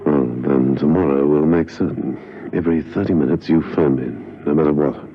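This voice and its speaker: An actor playing an unruffled, wry gentleman agent in a classic spy radio drama, suave and dryly understated. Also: rumbling low, brisk. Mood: breezy, assured. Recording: dull, noisy radio recording.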